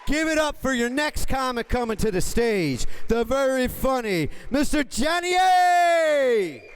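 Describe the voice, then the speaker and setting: A man hosting a comedy show, loudly hyping up the crowd with a shouted stage introduction. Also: very high voice, big pitch swings, unhurried, a little hiss or hum.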